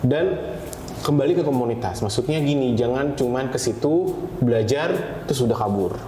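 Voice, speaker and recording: A man's voice: medium pitch, normal speed, dark tone. A man explaining things casually as a podcast guest, mixing in English words. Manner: explanatory, peppers talk with English words and tags. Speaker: guest, data scientist. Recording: roomy echo, faint background noise.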